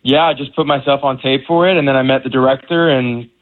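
Relaxed male actor on a phone line. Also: medium pitch, fast. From United States. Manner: relaxed, stumbling, many restarts. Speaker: actor and guest. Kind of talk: casual interview.

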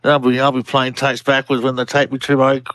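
A man's voice: mid-range voice, completely flat delivery, fairly quick, fairly bright tone, dry recording. A man in his seventies with an Australian accent, giving a casual radio interview as a researcher, enthusiastic and often restarting his sentences. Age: 70s.

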